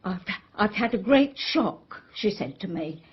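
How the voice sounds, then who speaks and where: An actress playing a loyal, deferential household servant in a radio drama, earnest in manner. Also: female voice, medium pitch, lively intonation, measured pace, husky, lo-fi sound.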